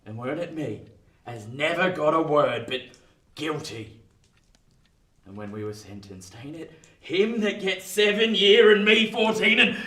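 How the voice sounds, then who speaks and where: Bitter old English convict, sharp-voiced. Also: male voice, high voice, slight background noise. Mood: bitter indignation. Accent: English, working-class. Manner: colloquial, emphatic storytelling that swings into indignation. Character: rough, bitter old male ex-convict recounting his criminal past. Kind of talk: radio play acting. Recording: roomy, distant from the mic.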